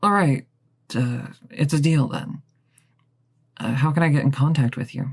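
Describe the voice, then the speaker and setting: Husky, nervous, stammering young woman played by an actor. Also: mid-range voice, moderate pace, long reverb tail. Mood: nervous, deferential. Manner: hesitant, stammering. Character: nervous young woman, newly made vampire, timid. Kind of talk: audio drama acting.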